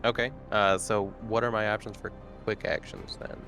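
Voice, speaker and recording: A man's voice: lowish voice, little pitch movement, moderate pace. A man chatting casually as a player in a tabletop roleplaying game. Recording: loud music behind the voice. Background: music.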